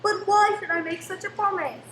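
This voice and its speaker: A woman's voice: extremely high pitch, normal speed, a bit bright. A woman playing a cheerful, outspoken young daughter in a radio play, spirited and a little defiant. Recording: echoey room with faint noise.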